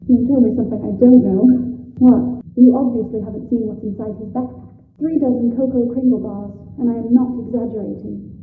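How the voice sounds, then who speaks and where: An anxious young British bride-to-be in a mystery video game, played by a woman. Her voice sits fairly high, and she speaks with genteel, articulate earnestness and a touch of drama. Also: steady tone, fairly quick, phone-quality sound, soft background music, lightly acted. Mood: wry, chatty. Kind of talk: scripted video game voice acting.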